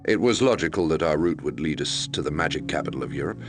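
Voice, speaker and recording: An actor playing a sombre investigator who recounts a murder case in a measured, grave, gravelly storytelling voice for a mystery game. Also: male voice, very deep voice, expressive. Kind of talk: scripted noir-like narration. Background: loud background music.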